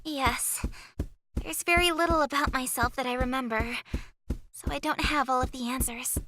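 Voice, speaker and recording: A woman playing a naive, earnest young adventurer girl with amnesia in an anime-style game, with a bright, girlish voice. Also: sits high, fairly even intonation, normal speed, background noise, lightly acted. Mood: wistful, subdued.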